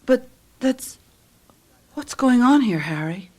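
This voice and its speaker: An animated, fretful American housewife. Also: female voice, a little high, slow, slight background noise. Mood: dismayed. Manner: expressive, flustered, chatty. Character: chatty, fretful suburban housewife. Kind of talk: American radio drama acting.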